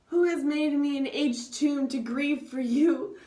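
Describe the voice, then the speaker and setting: Heartbroken old king wailing over his child, a woman reading it aloud, high voice in an echoey room. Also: measured pace, dark tone. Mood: anguished. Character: grieving old father, a king. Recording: echoey room, distant mic.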